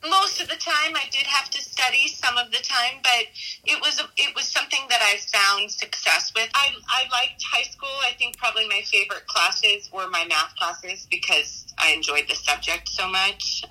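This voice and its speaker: A woman's voice: a little high, normal speed, bright, forceful tone, poor audio. A woman, giving casual, reflective interview answers in a conversational way. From Southern California, United States. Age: 40s. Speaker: interviewee, math teacher.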